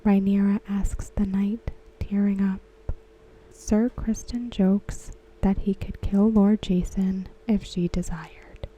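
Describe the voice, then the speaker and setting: A woman speaking in a soft unvoiced whisper for an ASMR recap, slow and even throughout. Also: mid-range voice, slight background noise, low-quality recording. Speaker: ASMR creator.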